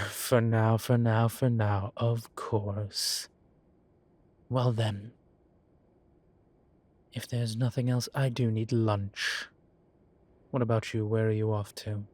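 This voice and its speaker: A man playing a composed, teasing demon professor in a roleplay audio, speaking in a formal, measured and wry way. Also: lowish voice, level tone, darkish tone. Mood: wistful, wry. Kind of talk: scripted roleplay audio, one side of a conversation.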